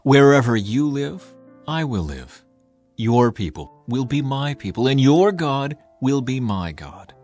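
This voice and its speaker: A man's voice: medium pitch, sing-song, unhurried, darkish tone, faint music behind, voice pushed up. A man voicing a devoted, humble young widow in an audiobook, speaking earnestly and solemnly. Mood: solemn devotion.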